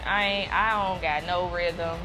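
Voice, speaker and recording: A giggly, easygoing woman talks casually as a guest, laughing often between loose, slangy remarks. Music plays clearly underneath. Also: fairly low pitch, steady tone, a little slow, rough recording. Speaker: guest, gym partner. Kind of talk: casual chat.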